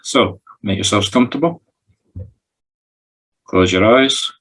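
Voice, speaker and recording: A Scottish man leading a guided meditation as a medium, giving instructions in short, separate phrases with a husky voice. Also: lowish voice, takes it slowly, a bit bright. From Scotland. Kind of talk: guided meditation, improvised narration. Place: recorded over a video call.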